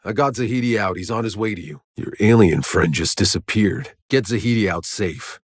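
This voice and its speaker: A battle-hardened male survivor from a science fiction game. His voice sits low, and he delivers his lines tersely, with dry sarcasm and a weary edge. The sound is tight and free of room reflections. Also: no room sound. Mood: urgent, businesslike. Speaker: video game protagonist.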